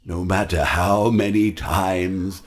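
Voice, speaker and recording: An actor playing a sinister, possessive performer robot in a horror game, with a husky voice and theatrical, deliberate delivery. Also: male voice, sits low, steady tone. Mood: grim, possessive. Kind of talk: horror game villain lines.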